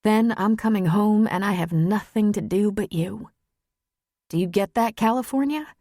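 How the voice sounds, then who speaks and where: Narrator as an emotional woman, pitched up, candid. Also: moderate pace, dark tone, no room sound, studio quality, close to an everyday voice. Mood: urgent, pleading. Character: emotional, candid adult woman lawyer in love.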